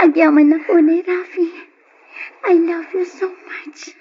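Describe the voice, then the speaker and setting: A woman's voice: very high voice, steady tone, slow, warm tone, soft background music. A woman acting an affectionate, worried young wife in an old Ilocano radio drama. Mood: affectionate, playful. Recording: dull, noisy old radio recording.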